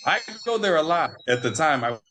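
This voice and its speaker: An American man in his twenties, a podcast guest on a video call, telling a story in an animated way that repeats and restarts phrases. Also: on the high side, a little fast. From United States. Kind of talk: storytelling in a remote interview.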